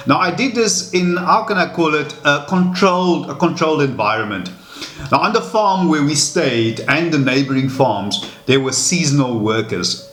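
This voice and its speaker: A man's voice: medium pitch, fairly bright tone. Earnest man, echoey room. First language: Afrikaans. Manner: earnest, measured, with frequent repeated words. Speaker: channel host. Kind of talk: reflective personal talk to camera. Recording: echoey room with faint music.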